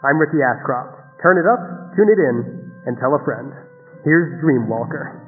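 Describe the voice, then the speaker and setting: A man's voice: medium pitch, expressive, moderate pace, soft background music. An actor playing a chirpy small-town golden-boy radio host, chatty and hammy, with DJ patter through a radio filter. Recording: tinny reverberant car radio sound.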